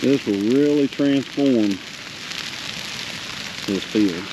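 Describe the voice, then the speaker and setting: An older man, a farmer, narrating casually outdoors in a field while burning it off, in an unhurried, plainspoken way with frequent pauses. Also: mid-range voice. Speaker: farmer vlogger. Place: open field outdoors beside a grass fire. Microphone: handheld or body-worn action camera. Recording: noisy outdoor recording with crackling fire.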